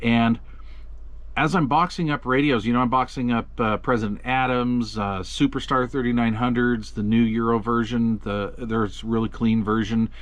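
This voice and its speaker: A man talks through the front panel of a radio in an easygoing, unscripted way. He pauses often with ums and uhs, and his voice sits in an ordinary middle pitch. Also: no echo. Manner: relaxed, chatty, frequent ums and uhs. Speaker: radio reviewer. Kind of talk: casual product walkthrough.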